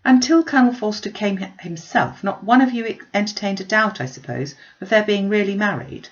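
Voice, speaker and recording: A woman voicing an anxious, indignant young gentlewoman in a classic novel, urgent, probing and emphatic. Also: medium pitch, animated, fairly quick, lo-fi sound, voice pushed up, close to an everyday voice. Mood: urgent questioning.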